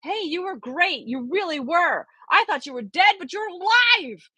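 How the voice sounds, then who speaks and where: A woman voicing an excitable young boy who shouts in a high, bright voice. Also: normal speed, no room sound, full character voice. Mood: overjoyed.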